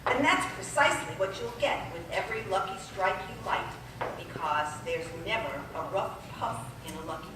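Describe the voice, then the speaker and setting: A woman acting a female sponsor announcer from 1940s radio, persuasive and emphatic, with a medium-pitched voice. She is heard from a distance in a very reverberant, noisy hall. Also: big pitch swings, normal speed, lightly acted. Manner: emphatic, persuasive sales delivery. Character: smooth-selling 1940s radio cigarette announcer. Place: amateur stage show in a large echoey room. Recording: echoey hall from far off, noisy.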